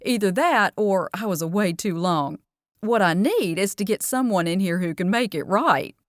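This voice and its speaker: A woman's voice: mid-range voice, lively intonation, moderate pace, dry recording, clean studio sound, natural voice. A woman doing a chatty diner lady. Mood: folksy. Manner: chatty, folksy, motherly. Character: warm-hearted Southern diner owner, older woman.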